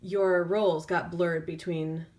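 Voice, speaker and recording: A woman tarot reader speaking off the cuff in an intuitive reading, feeling her way through phrases with drawn-out pauses. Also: fairly low pitch, level tone, a little mellow.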